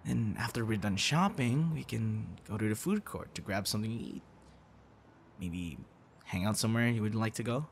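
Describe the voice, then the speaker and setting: A man's voice: lowish voice, lively intonation, moderate pace, gravelly, a little hiss or hum. A young man acting a teasing, friendly boyfriend figure in an intimate, casual audio roleplay. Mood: casual, playful. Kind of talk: ASMR boyfriend roleplay, intimate one-sided dialogue.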